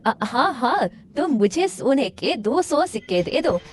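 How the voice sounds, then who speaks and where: A woman's voice: high voice, very animated, unhurried, slight background noise. A woman voicing a greedy, nervous village merchant man with a hesitant manner in a children's story. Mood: eager.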